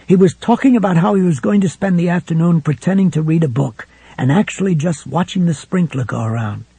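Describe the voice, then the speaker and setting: A man's voice: a little high, a little fast, vocal fry, mellow, husky, dry recording, full character voice. A man voicing a frightened, tearful young mother who pleads and frets. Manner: fretful, pleading, rising with fear.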